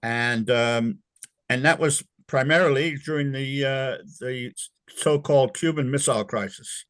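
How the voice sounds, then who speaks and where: An older man, born in England and raised in Canada, recalls his navy years in an interview, talking in a halting way with many fillers and restarts. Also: medium pitch, fairly bright tone, no echo. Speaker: interview guest, former navy sailor. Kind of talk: casual recollection in an interview.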